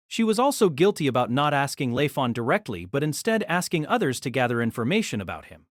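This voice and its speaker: A narrator telling a fan audio drama story in an expressive storytelling manner. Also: medium pitch, dry recording, clean studio sound.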